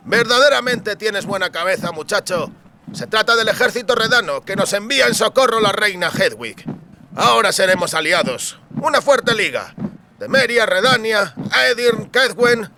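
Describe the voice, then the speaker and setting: A man's voice: high voice, animated, normal speed, noisy background, pitched above the performer's own voice. A man voicing a haughty mercenary soldier with archaic, emphatic phrasing in a fantasy audiobook. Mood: hearty, approving. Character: gruff, mustached mercenary soldier, haughty and approving.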